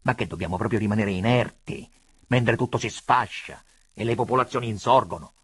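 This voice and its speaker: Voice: medium pitch, brisk, slightly rough, no room sound, close to an everyday voice. A reader voicing a proud, hot-tempered, embittered old prince in a commanding, declamatory audiobook style. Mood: indignant. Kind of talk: dramatic audiobook character reading.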